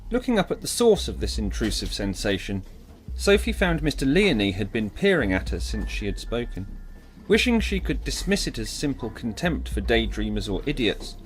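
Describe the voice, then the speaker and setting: A man's voice: medium pitch, sing-song, moderate pace, poor audio. A man narrating a scripted audiobook drama in a theatrical, emphatic storytelling manner.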